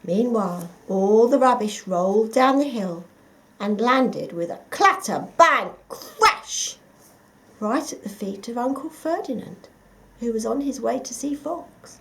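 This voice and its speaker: A woman reading a children's picture book aloud, with expressive, dramatic emphasis for young listeners. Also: on the high side, measured pace, creaky, low-quality recording. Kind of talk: expressive scripted story narration.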